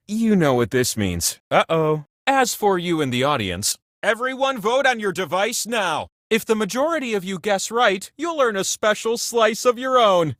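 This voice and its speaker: A man voicing an excitable, grandiose talking prize wheel that hosts a video game show in a playful, theatrical, mock-pompous manner. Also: high voice, no room sound, clean studio sound, full character voice. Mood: dismayed. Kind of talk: animated video game announcer lines.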